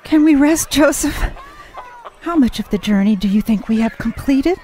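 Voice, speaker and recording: Woman acting tired, gentle young mother-to-be. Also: high-pitched, lively intonation, normal speed, some chatter behind, slight background noise. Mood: weary. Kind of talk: 1940s radio drama acting.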